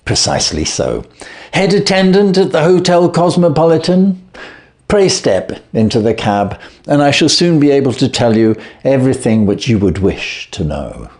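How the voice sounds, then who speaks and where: A man voicing a shrewd, calm gentleman detective who speaks blandly and with sweet irony. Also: mid-range voice, moderate pace, voice pushed up, close to an everyday voice. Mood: sweetly ironic. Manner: bland, sweetly ironic, assured.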